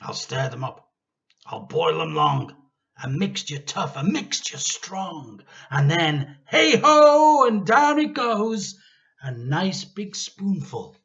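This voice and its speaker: A man's voice: a little high, takes it slowly, vocal fry, pitched above the performer's own voice, full character voice. Casting: male reader as mischievous young storybook boy, defiant and gleeful, swooping emphatic lines, slightly dull recording. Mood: excited, chanting. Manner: excited, emphatic, chanting delivery. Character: mischievous, defiant eight-year-old boy plotting revenge. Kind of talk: dramatised children's storybook reading.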